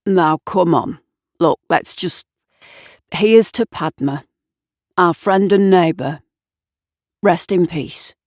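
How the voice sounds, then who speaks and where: A woman's voice: lowish voice, slow, long pauses, a little mellow, no echo. A woman storyteller plays a chivalrous man from an audio story. He speaks in a placating, declamatory manner, as if leading a toast, recorded close and dry. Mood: solemn. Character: chivalrous man making a conciliatory pub toast.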